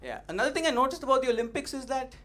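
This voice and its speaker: A young man doing an open-mic stand-up set, punchy and animated, teasing the audience, on a stage mic. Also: very high-pitched, very fast, a crowd in the background. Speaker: stand-up comedian. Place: comedy club stage. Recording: noisy live club recording.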